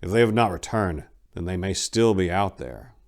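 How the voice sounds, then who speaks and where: A man's voice: deep voice, expressive, moderate pace, vocal fry, a little mellow. A man playing a weary, hard-bitten vampire-hunting warrior monk, grim and terse, in a fantasy story.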